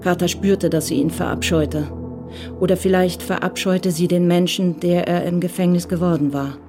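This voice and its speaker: A woman's voice: on the low side, fairly even intonation, normal speed, over music. A woman reading scripted literary narration as the narrator of a radio crime drama.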